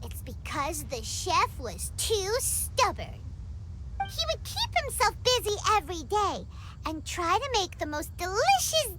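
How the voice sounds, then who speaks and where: A woman voicing a tiny, excitable female fairy companion in a game cutscene, telling a story in a playful, animated way. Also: heavy background noise, full character voice. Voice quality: high squeaky childlike voice. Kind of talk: video game cutscene dialogue. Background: loud game music.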